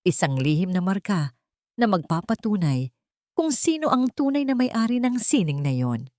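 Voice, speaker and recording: A woman's voice: medium pitch, big pitch swings, moderate pace, a little mellow, no room sound, clean studio sound. A woman with a Filipino accent narrating a dramatic scripted story as an audiobook.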